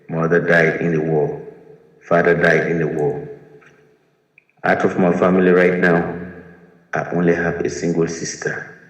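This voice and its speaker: A man from Liberia, a retired soldier telling his own story in an earnest, pleading way. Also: very deep voice. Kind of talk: personal testimony to camera. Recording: echoey room with long reverb.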